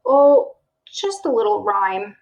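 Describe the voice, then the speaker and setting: A woman's voice: mid-range voice, lively intonation, takes it slowly, creaky, darkish tone. A woman voicing a nervous young boy shark in a storybook, putting on bravery, often whispering to reassure himself. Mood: feigned nonchalance.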